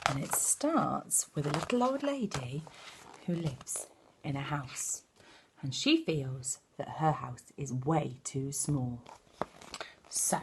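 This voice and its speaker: A woman's voice: deep voice, noisy background. A woman reading a storybook aloud as a teacher would, expressively, speaking directly to young children. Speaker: primary school teacher reading to pupils.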